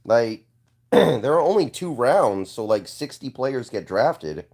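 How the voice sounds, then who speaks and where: A young man hosting a casual live stream, congested and hoarse, answering viewer comments with an offhand manner and frequent throat clearing. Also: medium pitch, very animated, takes it slowly, darkish tone.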